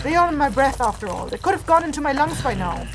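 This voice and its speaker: A woman's voice: sits high, very animated, fast, music in the background, low-quality recording. An actress playing a stern, scornful female mage in a fantasy audio drama, lecturing brusquely. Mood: dry, dismissive.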